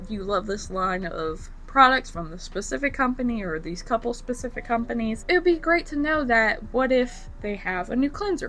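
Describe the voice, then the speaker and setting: A young woman with a raspy voice from bronchitis, giving rambling, casual tips on becoming an esthetician to her viewers. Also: a little high, bright, forceful tone. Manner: rambling, lots of fillers and repeats. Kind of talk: casual vlog talk to camera.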